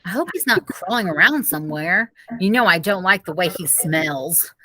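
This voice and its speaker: Woman playing a bright-voiced, brisk storybook mother. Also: lowish voice, lively intonation, slight background noise. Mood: concerned. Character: busy, dismissive mother of young boys. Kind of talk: children's storybook read-aloud.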